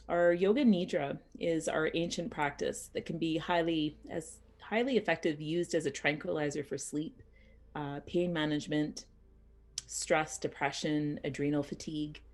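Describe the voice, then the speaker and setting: A woman teaching yoga nidra as a guided meditation, speaking soothingly and unhurriedly in short phrases with pauses. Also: low voice, fairly even intonation, a little mellow.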